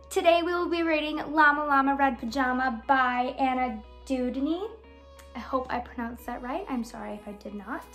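A young woman with a high voice hosts a read-aloud for kids. She reads picture-book text expressively and talks casually and directly to her young audience, recorded at home in a living room. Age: young adult. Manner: addresses young listeners with questions. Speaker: children's read-aloud host, education student.

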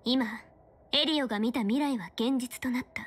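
An actress playing a stern young fortune-teller official in a game, speaking in a formal, measured, authoritative way. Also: female voice, sits high, slightly rough. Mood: analytical, composed. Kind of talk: scripted game character dialogue in Japanese dub.

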